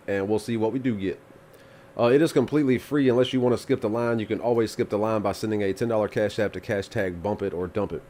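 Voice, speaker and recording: A man's voice: fairly low pitch, a little mellow. A man hosting a live music review stream, congested from the flu, talking in a rambling, laid-back way with casual swearing. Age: adult with children.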